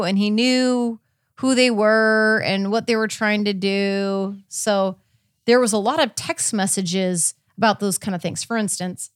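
A woman's voice: medium pitch, no echo, clean studio sound. A woman podcast host casually recapping a story in a chatty, informal way, with quoted asides. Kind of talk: casual conversational recap of a court case.

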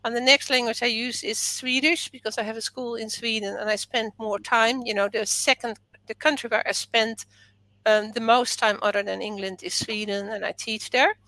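Bright-voiced Dutch-native woman, reflective. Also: on the high side, steady tone, moderate pace, no room sound, poor audio. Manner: reflective, thoughtful, speaks in long flowing sentences. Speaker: author and teacher, podcast guest. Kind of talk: conversational interview.

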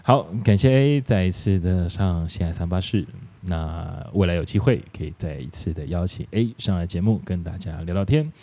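Very deep, mellow Taiwanese male host. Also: animated, normal speed, few pauses. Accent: Taiwanese Mandarin. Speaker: podcast host. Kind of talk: casual chat.

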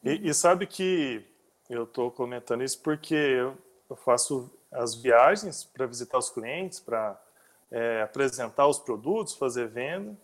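A man hosting a casual interview, talking in a halting way with frequent restarts. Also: medium pitch, animated. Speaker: interview host.

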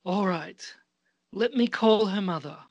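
Woman acting a slow-spoken, reluctant mother. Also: medium pitch, a little mellow, no room sound, muffled recording. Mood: resigned. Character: stern mother who reluctantly gives in to daughter. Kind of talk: amateur radio play acting.

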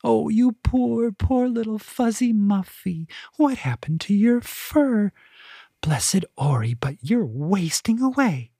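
Man doing a tiny old woman, high trilling voice, weepy and doting, storybook, dry sound. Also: very animated, slow pace, creaky, dark tone, husky, no room sound, full character voice.

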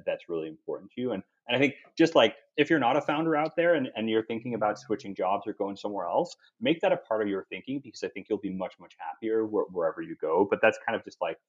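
Low-voiced European man, thoughtful restarts. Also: expressive, fast, few pauses, husky, highs cut off. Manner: thoughtful, frequent restarts and repeated words. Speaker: guest. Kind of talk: podcast conversation.

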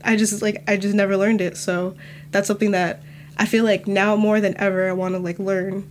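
A nineteen-year-old woman talking casually on a podcast, relaxed and full of "like" and "you know" fillers. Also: mid-range voice, brisk, slight background noise. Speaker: student guest.

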